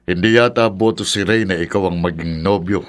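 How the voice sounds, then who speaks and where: Actor as older father, Filipino accent, low pitch, measured, concerned, apologetic, radio drama. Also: natural voice.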